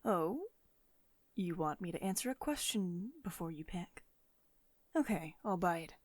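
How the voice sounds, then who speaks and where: A woman playing a commanding, possessive billionaire CEO in an intimate ASMR roleplay, speaking in a measured, condescending, coaxing way to one listener. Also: on the low side, lively intonation, dry recording. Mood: teasing, threatening. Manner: measured, condescending pet names, drops into breathy whispers.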